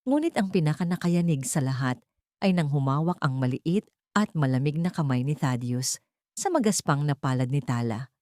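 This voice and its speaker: A woman's voice: low voice, lively intonation, normal speed, darkish tone, no echo, studio quality. A woman with a Filipino accent reading a dramatic story as an audiobook narrator.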